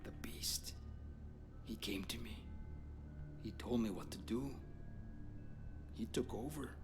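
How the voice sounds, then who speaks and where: An actor playing a menacing, eerily calm male vampire gang boss with a Spanish accent, slow and brooding, dropping into breathy whispers in a video game. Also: on the low side, noisy background. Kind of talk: video game dramatic acting. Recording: long hall reverb under clear music.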